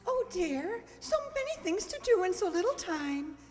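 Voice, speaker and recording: A woman acting a proper, fretting society mother in a radio play, prim and gently scolding. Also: very high-pitched, very animated, normal speed, music in the background. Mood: fussing. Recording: echoey hall, stage radio performance.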